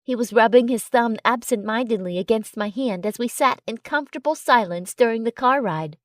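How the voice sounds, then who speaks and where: A woman narrating a first-person romance story in an expressive, dramatic storytelling way. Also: a little high, a little fast, no echo, clean studio sound. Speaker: audiobook narrator.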